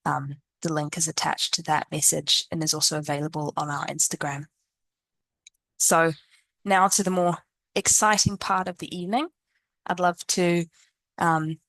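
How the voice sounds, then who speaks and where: A woman's voice: low voice, a bit bright, no echo. A woman from New Zealand facilitating a webinar panel, hesitant, with many ums and restarts.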